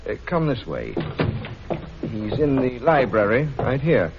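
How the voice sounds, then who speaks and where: A man's voice: medium pitch, big pitch swings, unhurried. A man acting a deferential household butler in a 1940s radio drama, speaking courteously and formally. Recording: noisy, muffled 1940s radio recording.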